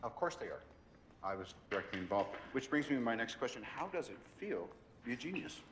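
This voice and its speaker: An actor playing a flippant, cocky billionaire inventor in a video game, with a glib, wisecracking manner. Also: male voice, mid-range voice, big pitch swings, a little fast, fairly bright tone, a little hiss or hum. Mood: smug, teasing.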